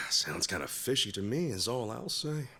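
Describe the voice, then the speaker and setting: Doubting gangster sidekick, raspy, swooping intonation, sharp, game cutscene. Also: male voice, medium pitch, sing-song, unhurried, heavily acted. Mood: suspicious, whiny. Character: skeptical, needling male yakuza underling. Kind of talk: English-dubbed video game cutscene acting.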